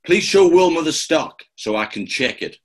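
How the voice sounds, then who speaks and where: A man playing a pompous, gloating gangster boss with a gravelly voice in a radio play. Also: fairly high pitch, animated. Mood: smug, menacing. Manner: slow, grandiose, theatrical. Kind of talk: 1940s-style radio play acting.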